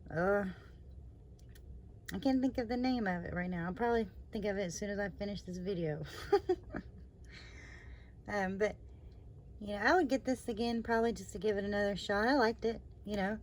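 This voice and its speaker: Slightly hoarse woman chatting about candles. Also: mid-range voice, normal speed, pauses often, slight background noise. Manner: rambling, chatty, with fillers and trailing asides. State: slightly hoarse after surgery. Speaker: candle review channel host. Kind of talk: casual product review vlog.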